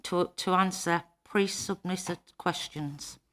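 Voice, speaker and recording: Woman, lowish pitch, formal, procedural chair. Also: steady tone, slow, fairly bright tone. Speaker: committee chair. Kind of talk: chairing a council meeting. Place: council committee meeting room.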